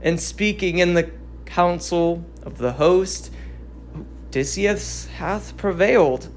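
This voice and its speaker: A performer playing a reluctant, troubled male Greek herald, halting and grave, in a dramatic reading of tragedy. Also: medium pitch, a little hiss or hum.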